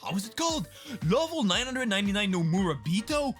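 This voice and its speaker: Animated, sarcastic young man. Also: high voice, fast, faint music behind. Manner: sarcastic, mock-dramatic. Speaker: YouTube host. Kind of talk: comedic anime season commentary.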